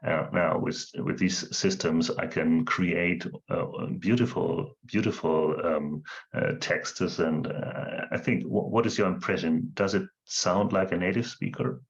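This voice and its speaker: A low, gravelly man with non-native English talks through a demo, stopping often on ums and uhs. His audio is dull and lo-fi, like an online call. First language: not English. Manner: halting, many ums and uhs. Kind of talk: screen-share demonstration.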